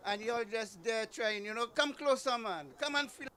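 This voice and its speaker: A man's voice: extremely high pitch, normal speed, pushed and bright. A man informally urges people at an event to come inside and listen. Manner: urging, coaxing, informal. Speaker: event speaker calling the crowd inside.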